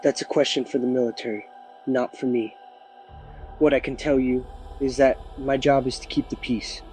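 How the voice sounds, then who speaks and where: A man's voice: mid-range voice, fairly even intonation, moderate pace, darkish tone, lightly acted, rough recording. A man playing a weary small-town sheriff in an audio drama news interview, firm and emphatic. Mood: stern. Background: music.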